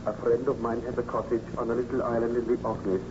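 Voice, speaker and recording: An actor playing a loyal, cautioning friend, with earnest, formal diction in an old radio drama style. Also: male voice, mid-range voice, level tone, fast, natural voice. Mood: resigned, reassuring. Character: loyal, cautioning male friend of a tormented scientist. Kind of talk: 1930s radio drama acting. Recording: muffled, noisy old radio recording.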